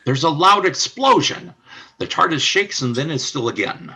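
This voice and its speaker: Brief: male narrator for a radio play, measured delivery, bright but husky tone. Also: a little high, animated. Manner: measured, announcer-like.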